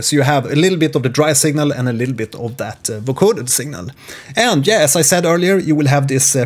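A man in his forties giving an informal, measured, instructional screen-share tutorial on music software from a small home studio. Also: medium pitch.

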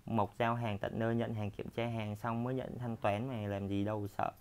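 A man's voice: on the low side, flat delivery, normal speed, clean tone. A man giving a chatty, repetitive sales walkthrough of furniture in his workshop, filmed on a handheld phone. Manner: chatty, repetitive, filler-heavy.

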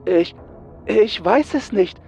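A man's voice: high-pitched, lively intonation, takes it slowly, darkish tone, husky, faint music behind, voice pushed up, exaggerated voice. A man voicing an anxious female astronaut in a horror audiobook, urgent and panicky. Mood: panicked. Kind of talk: dramatised horror audiobook dialogue.

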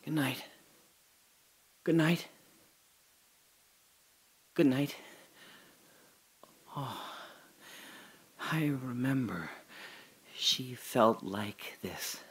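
A man performing a reflective audio drama monologue, speaking slowly and often dropping to a whisper. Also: mid-range voice. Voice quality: slow, gravelly and husky, often dropping to a whisper. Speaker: radio drama narrator and lead.

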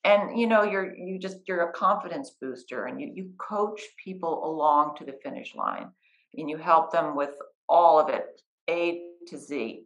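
A woman's voice: low voice, lively intonation, moderate pace, poor audio. A middle-aged woman, a writing coach and editor, patiently explaining the steps of her work in a conversational interview. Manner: patient, practical, walks through steps. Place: living room, sitting on a couch beside a guest.

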